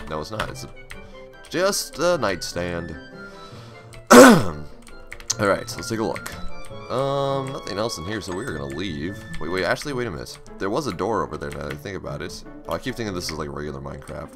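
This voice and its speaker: Slightly raspy young man playing a game. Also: low voice. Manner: chatty, reads on-screen text aloud, upbeat. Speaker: gaming channel host. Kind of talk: casual let's play commentary.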